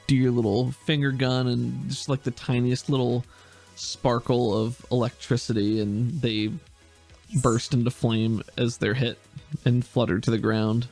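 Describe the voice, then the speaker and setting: Gravelly-voiced man running a tabletop game. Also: medium pitch, soft background music. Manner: relaxed, descriptive, with filler pauses. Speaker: game master.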